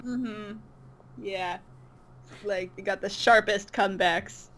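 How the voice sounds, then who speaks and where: A woman's voice: medium pitch, fairly even intonation, slow pace, a bit bright. A woman chatting casually as an interview guest, agreeable and hesitant, often trailing off. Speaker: interview guest, online comic dub creator. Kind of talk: casual chat.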